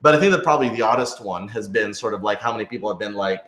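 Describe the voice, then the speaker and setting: A man's voice: on the low side, very fast, fairly bright tone. A man chatting casually in a rambling, hedging way, as if thinking aloud while hosting a group Q&A. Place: indoor office used for rehearsals. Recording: echoey room.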